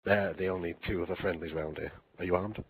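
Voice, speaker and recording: A man playing a battle-hardened alien soldier in a sci-fi game audio drama, gruff, terse and wry, with a raspy voice. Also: low-pitched, fairly even intonation, fast talker, no echo, poor audio. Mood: gruff, urgent. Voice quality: gravelly, raspy. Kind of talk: fan audio drama, game-story character acting.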